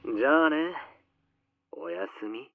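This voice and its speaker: A man's voice: mid-range voice, big pitch swings, normal speed, no room sound. A man playing a cruel young blackmailer in a visual novel, making creepy, mock-affectionate threats through a telephone filter. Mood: menacing, taunting. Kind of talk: Japanese visual novel acting. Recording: tinny, noisy phone line.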